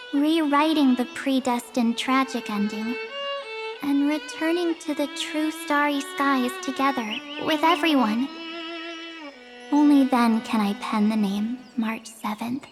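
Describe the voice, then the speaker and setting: A woman voicing a cheerful, sentimental young girl in an anime game, with a bubbly, expressive, boastful manner. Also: high voice. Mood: earnest, solemn. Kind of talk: anime game voice acting, scripted dialogue and narration. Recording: roomy reverb under orchestral string music.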